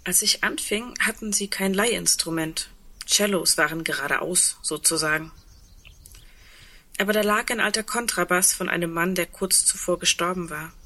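An actress playing a lonely, sardonic woman awaiting the end of the world in an intimate radio play, in a breathy, reflective, wry way. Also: lowish voice, normal speed, sharp tone. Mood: wistful, wry.

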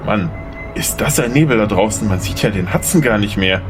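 Actor as a sarcastic man on the run, lively. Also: fairly low pitch, brisk, over music, close to an everyday voice. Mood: wry, skeptical. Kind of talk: radio play acting.

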